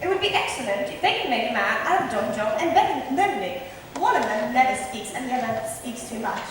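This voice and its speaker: A sharp-witted, outspoken young woman played by an amateur actress on stage. Her voice is high, with sardonic emphasis. It carries from far off in a very echoey hall. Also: sing-song, fairly quick. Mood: mocking. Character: witty, outspoken young unmarried woman scornful of husbands. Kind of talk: amateur stage acting of modernized Shakespeare. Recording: distant, very echoey hall, faint noise.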